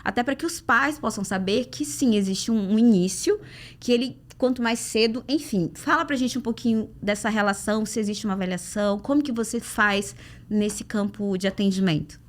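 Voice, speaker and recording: A woman's voice: medium pitch, moderate pace. A woman with a Brazilian Portuguese accent hosting a conversational podcast interview, talking her way toward questions with frequent little tag checks. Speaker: podcast host.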